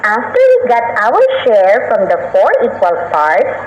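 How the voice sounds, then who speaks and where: A woman's voice: mid-range voice, huge pitch swings, unhurried, slight background noise. A Filipino woman playing a patient young pupil who carefully tutors classmates in an educational radio drama. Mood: insistent. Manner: careful, instructive, repeating key points. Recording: dull radio audio, echoey, music behind.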